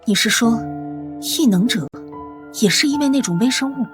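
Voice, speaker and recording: An actress playing a righteous young female resistance member in an animated drama. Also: fairly high pitch, normal speed, lightly acted. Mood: shocked. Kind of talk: animated web drama dubbing. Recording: loud background music.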